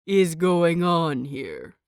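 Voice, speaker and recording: A woman's voice: on the low side, very animated, drawn-out words, clean tone, dry recording, studio quality, exaggerated voice. A woman voicing a stern, irritable older male grandmaster in an audiobook story, with a clipped, scolding delivery. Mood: stern, incredulous. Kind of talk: dramatised audiobook reading.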